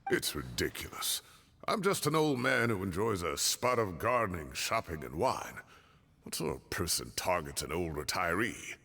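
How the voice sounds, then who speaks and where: A man's voice: low voice, very animated, normal speed, bright, forceful tone, heavily acted. A man voicing a kindly retired old professor who grumbles indignantly in a genteel way. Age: older. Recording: game audio with long reverb.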